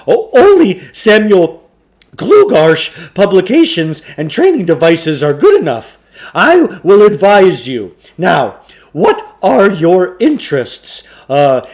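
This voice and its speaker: A man voicing a pompous, flattering huckster shopkeeper from a storybook, in theatrical salesman patter with hesitant asides. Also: high voice, pitched above the performer's own voice, full character voice. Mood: grandly coaxing. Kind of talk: storybook character voice.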